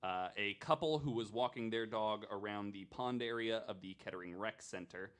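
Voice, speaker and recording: A man's voice: lowish voice, normal speed, clear, resonant tone. A man hosting a podcast, telling a true crime story in a casual, chatty way with joking asides.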